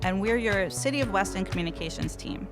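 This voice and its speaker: A woman's voice: mid-range voice, expressive, a little fast, music in the background. A woman co-hosting a podcast for a city communications team, upbeat and affirming in casual talk.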